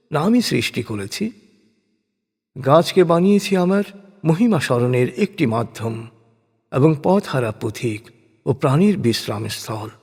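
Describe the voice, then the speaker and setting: A man gives a solemn, scripted reading of scripture in a measured, declamatory manner. His voice sits a little high for a man and has a husky grain. A long hall-like reverb trails his words. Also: darkish tone. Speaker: reciter of a scripture translation. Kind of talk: solemn scripted religious narration.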